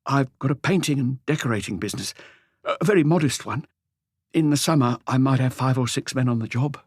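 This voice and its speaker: A man's voice: mid-range voice, a little fast, breathy edge, no room sound, clean studio sound, pitched above the performer's own voice, natural voice. A man voicing a timid, humble, nervous tradesman in an audiobook, hesitant and apologetic in the way he talks. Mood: nervous, confiding. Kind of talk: audiobook character dialogue.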